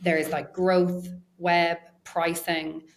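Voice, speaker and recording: A woman's voice: lowish voice, level tone, takes it slowly, a little hiss or hum. A woman speaking as an executive guest in an interview, explaining conversationally and thinking aloud with hedged phrasing. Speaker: interview guest, research and data science executive.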